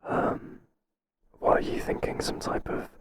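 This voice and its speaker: A man playing a mild, kindly workplace boss in a whispered ASMR roleplay, soft-spoken and halting with a breathy voice. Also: close to an everyday voice. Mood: hesitant, accommodating. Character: mild, hesitant, kindly male workplace boss, flustered by flattery.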